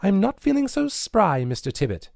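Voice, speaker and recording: A man's voice: sits high, wildly swooping pitch, normal speed, vocal fry, darkish tone, dry recording, clean studio sound, voice pushed up, heavily acted. A man voicing a young tavern lass who pleads and breaks into sobs. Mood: timid.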